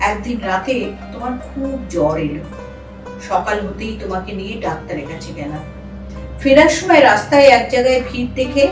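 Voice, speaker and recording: A woman playing a troubled, protective elder sister in an audio drama, with emotional, expressive delivery. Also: mid-range voice, close to an everyday voice. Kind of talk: Bengali audio drama acting. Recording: echoey room, distant mic, music bed.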